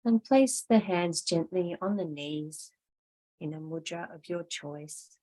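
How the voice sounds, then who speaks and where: A woman with a slightly low voice leads a yoga class as its teacher. She speaks very slowly and gently, giving measured instructions in the style of a guided meditation. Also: low-quality recording. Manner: slow, gentle, measured guiding.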